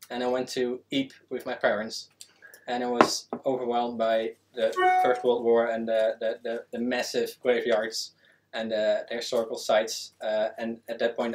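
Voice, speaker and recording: Young man from the Netherlands, historian guest, earnest, hesitant fillers, mid pitch. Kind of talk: panel answer. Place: small studio with a world map.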